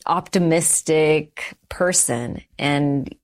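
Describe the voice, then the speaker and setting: A middle-aged woman guest giving an earnest, reflective personal testimony in short, measured phrases. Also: low voice. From Canada, the United States and Sri Lanka. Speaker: interview guest.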